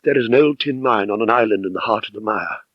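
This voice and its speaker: A man's voice: fairly low pitch, fast talker, no room sound, dull audio, close to an everyday voice. Man voicing an anguished, bitter wronged wife. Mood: vengeful, fervid. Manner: impassioned, melodramatic. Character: anguished, bitter woman, a villain's abused wife.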